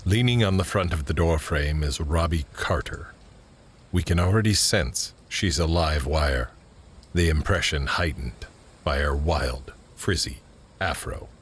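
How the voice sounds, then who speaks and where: Male narrator, bottom-register voice, measured, scripted scene description, slight background ambience. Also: a bass voice, a little hiss or hum. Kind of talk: scripted screenplay-style narration.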